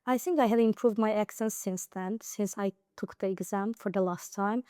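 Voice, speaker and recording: A woman's voice: medium pitch, steady tone, normal speed, warm tone, smooth, no echo, clean studio sound. A young woman from Azerbaijan with a Nakhchivan accent in English, an interview guest giving earnest study advice with frequent fillers and restarts. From Azerbaijan, Nakhchivan region. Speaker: interview guest, IELTS high scorer. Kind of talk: casual interview conversation.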